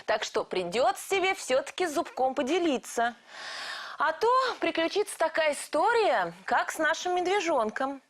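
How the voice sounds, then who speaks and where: A woman playing a friendly young storyteller in a children's cartoon, with an expressive, gentle, teacherly way of talking. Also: sits high, normal speed. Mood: friendly, chatty.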